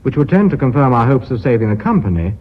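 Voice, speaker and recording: Authoritative British chairman, measured and courteous, slightly rough voice, dull vintage radio sound. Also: medium pitch, big pitch swings. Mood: stern, courteous. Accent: British English. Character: formal, authoritative company chairman running a board meeting. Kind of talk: British radio drama acting. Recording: muffled old radio recording.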